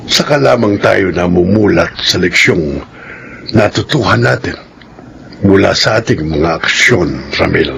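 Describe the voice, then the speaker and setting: A man narrating and announcing a radio drama in a solemn, measured, reflective style. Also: sits low, expressive, vocal fry, crowd noise.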